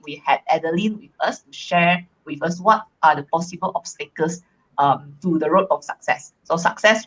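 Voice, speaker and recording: A woman from Malaysia co-hosting a casual live stream, talking in a relaxed, reflective way with many fillers. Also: low voice, slightly rough, poor audio. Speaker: co-host.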